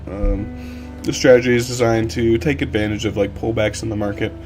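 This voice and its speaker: A man with a slightly hoarse voice, streaming a casual coding tutorial from his desk and rambling with lots of fillers. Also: on the low side, fairly quick, soft background music. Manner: relaxed, rambling with many fillers. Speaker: livestream coding instructor.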